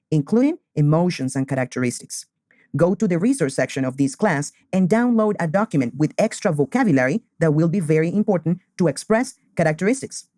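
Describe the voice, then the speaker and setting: A man, teaching beginner English and repeating key phrases slowly. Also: fairly high pitch, darkish tone, no echo, studio quality. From Colombia. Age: 20s.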